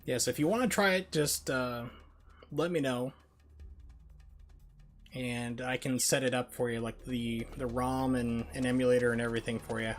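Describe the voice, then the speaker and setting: A man's voice: medium pitch, steady tone, moderate pace, soft background music. A man giving casual, rambling, opinionated live commentary as he streams a video game and chats with viewers. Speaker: game livestreamer.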